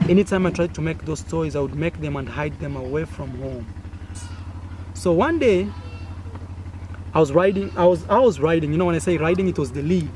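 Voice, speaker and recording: Lively Kenyan man telling a story. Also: on the high side. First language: Swahili. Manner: animated, acts out sounds and gestures with frequent fillers. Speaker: content creator. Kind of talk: casual storytelling to camera. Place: outdoors in a village. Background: faint village noise.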